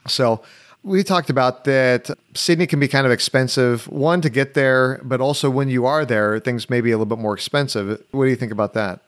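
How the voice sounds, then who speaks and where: A man hosting a travel podcast, chatting in a relaxed, halting way with many restarts. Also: mid-range voice. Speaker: podcast host. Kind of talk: casual interview chat.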